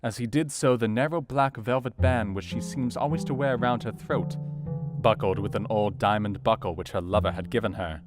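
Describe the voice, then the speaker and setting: Man acting Victorian doctor diarist, gothic audio drama, low pitch, serious, measured, literary, soft guitar backing. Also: lightly acted. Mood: grave, anxious. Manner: measured, formal, literary delivery. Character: serious, anxious Victorian doctor narrating his diary. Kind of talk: dramatised first-person literary narration. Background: faint plucked guitar music.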